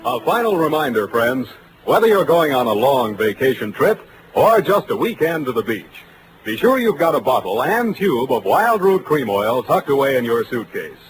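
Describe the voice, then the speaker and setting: A man's voice: medium pitch, very animated, normal speed, background noise. An American man announcing on 1940s radio with a polished sales delivery. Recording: vintage radio broadcast.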